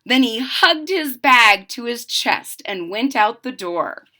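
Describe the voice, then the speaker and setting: Female storybook reader, high-pitched and very animated, expressive, with casual explanatory asides for little kids. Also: slow. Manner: expressive, simple explaining for young children. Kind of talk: read-aloud of a children's picture book with casual asides.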